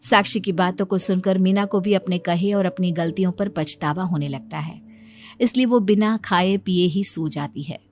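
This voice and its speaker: A woman's voice: fairly low pitch, moderate pace. A woman narrating a scripted moral story as its storyteller.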